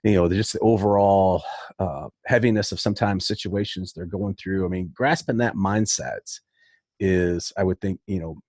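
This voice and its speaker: A man's voice: low-pitched, normal speed, darkish tone, dry recording. A man hosting a casual interview podcast, agreeable and informal, padding his sentences with conversational filler phrases. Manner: agreeable, peppers speech with "you know".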